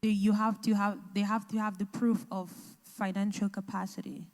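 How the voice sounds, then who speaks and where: A woman interpreting consecutively into English, halting and restarting as she translates. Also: mid-range voice, little pitch movement. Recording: echoey hall.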